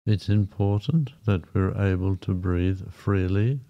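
A man guiding a meditation in a gentle, unhurried manner, leaving long pauses between short phrases. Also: low voice, creaky. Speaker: meditation guide. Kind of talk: guided meditation, softly spoken. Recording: dull, muffled lo-fi sound. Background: background music.

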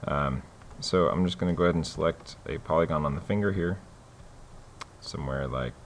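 Man with a very low voice, casual tutorial host, loose and halting delivery, light hiss behind. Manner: relaxed, many fillers and restarts. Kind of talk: casual screen-recorded tutorial.

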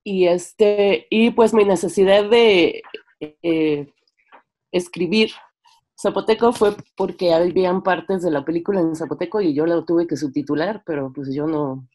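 A young woman with a Mexican accent and a fairly low voice talks casually on a video call. She feels her way through sentences in a conversational, hesitant manner, often ending on a small questioning tag. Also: a little slow, a little mellow. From Mexico, French-Mexican. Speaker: seminar participant, filmmaker. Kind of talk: informal sharing in an online discussion. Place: bedroom, indoors on a video call. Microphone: computer microphone on video call.

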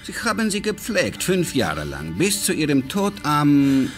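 An actor playing a suave, fatherly and controlling businessman in a radio crime drama, speaking deliberately and courteously with veiled menace. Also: on the high side, wildly swooping pitch, faint music behind. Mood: knowing, controlled.

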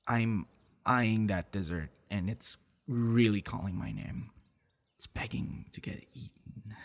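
Guy acting as a soft hesitant young boyfriend in an asmr roleplay, kinda low voice, really slow and tender. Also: gravelly, breathy edge, exaggerated voice. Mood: gentle, reassuring. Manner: soft, hesitant, with sighs and chuckles. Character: tender, hesitant young man courting a close friend. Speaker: audio roleplay voice actor.

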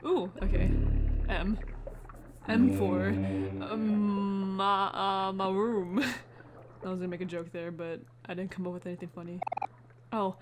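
A woman's voice: mid-range voice, level tone, unhurried, long pauses, background noise. A woman hosting a gaming video with casual, reactive commentary, muttering informal asides to herself.

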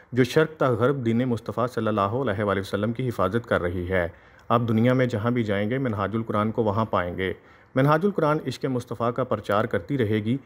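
A man reporting as a field correspondent, reading a formal news voice-over at an even pace. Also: on the low side.

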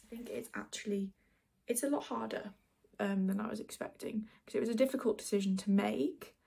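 A woman's voice: mid-range voice, creaky, mellow, a little hiss or hum. A twenty-year-old woman chatting casually while doing her makeup in a small bedroom, rambling with lots of fillers. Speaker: beauty vlogger. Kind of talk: casual get-ready-with-me chat. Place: small dim bedroom, indoors.

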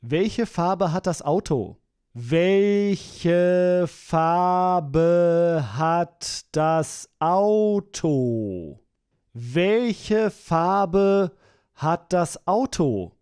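A man's voice: high voice, expressive, very slow, a little mellow, clear, resonant tone, no echo, clean studio sound. A man reading phrases for a language course, splitting and repeating them with careful enunciation. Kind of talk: slow, clear phrase-by-phrase repetition.

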